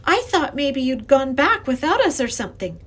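A woman voicing an earnest, excitable young girl who pleads and insists, in an acted children's storybook reading. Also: sits high, normal speed, voice pushed up. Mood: excited.

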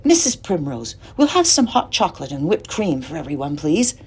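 A woman voicing a small, funny, cheerful gentleman who talks in excited exclamations. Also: low-pitched, normal speed, breathy edge, heavily acted. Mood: delighted. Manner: exclaiming, bubbly.

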